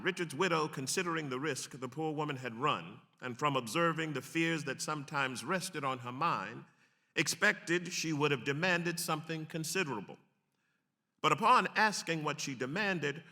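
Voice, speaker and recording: A man declaims a historic text in a formal, emphatic style. His voice is fairly high and extremely bright and tense, with long reverb from a big hall. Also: normal speed. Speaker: actor reading aloud. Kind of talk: dramatic reading of a historic pamphlet.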